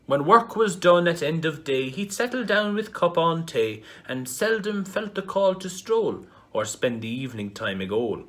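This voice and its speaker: A man's voice: mid-range voice, a bit bright. A man with an Irish accent reciting a comic rhyming ballad in a mock-dramatic, storytelling manner. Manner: mock-dramatic storytelling with big swings in volume. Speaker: performer reading a piece.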